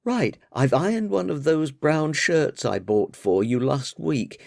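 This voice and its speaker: A man's voice: fairly high pitch, expressive, creaky, a little mellow, no echo, clean studio sound, pitched above the performer's own voice, full character voice. A man voicing a bubbly, bossy young woman who scolds and chatters at her father. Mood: chirpy scolding. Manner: chirpy, nagging, quick to scold. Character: lively young adult daughter, bubbly and bossy.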